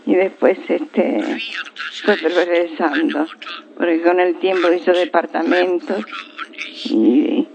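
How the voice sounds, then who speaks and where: A man's voice: high-pitched, takes it slowly, gravelly. An older man from Italy telling plainly how his family settled, with occasional fillers. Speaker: immigrant interviewee. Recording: dull lo-fi recording with faint hiss.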